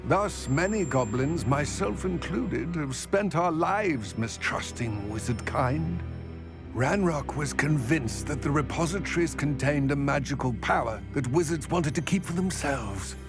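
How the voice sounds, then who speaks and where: A man's voice: fairly high pitch, lively intonation. Grave, weary male goblin, music behind. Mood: bitter, earnest. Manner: formal, measured, wordy. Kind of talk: video game cutscene acting.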